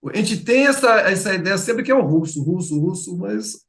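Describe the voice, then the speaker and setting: A middle-aged man with a Bahian accent chats as a guest on an interview show. His voice sits fairly high, and he talks in a loose way, full of fillers and repeated words. The room around him sounds echoey. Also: huge pitch swings, normal speed, a bit bright. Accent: Bahian Portuguese. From Bahia, Brazil. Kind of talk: casual interview chat.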